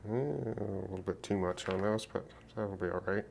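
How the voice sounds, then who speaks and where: Creaky low-voiced man thinking aloud. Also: darkish tone, slight background noise. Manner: unhurried, thinking aloud while working. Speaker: repair video host. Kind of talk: casual narrated repair demonstration.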